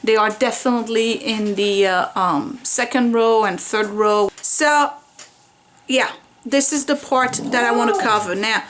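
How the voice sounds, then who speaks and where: A woman in her forties talks to her camera at home by a window, chatty and candid. Her voice sits a bit high, and she rambles a little with fillers as she explains things. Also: measured pace, fairly bright tone, slight background noise. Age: 40s. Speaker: vlogger. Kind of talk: casual home hair-care vlog.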